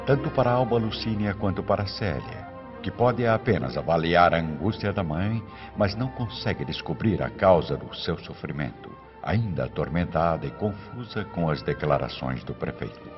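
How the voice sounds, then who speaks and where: A man giving solemn, measured scripted narration for a radio drama. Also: low-pitched, animated. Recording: muffled radio recording over music.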